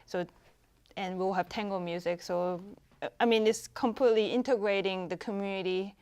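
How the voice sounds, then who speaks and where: A woman who runs a music festival, answering TV studio interview questions in a measured, somewhat hesitant way. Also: mid-range voice, steady tone, darkish tone, clean tone. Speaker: festival executive director and musician.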